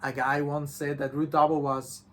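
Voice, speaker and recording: A man co-hosting a casual, rambling review discussion, speaking in a halting, hedging way with slightly unusual phrasing. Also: a little high, level tone. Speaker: co-host of a visual novel review show.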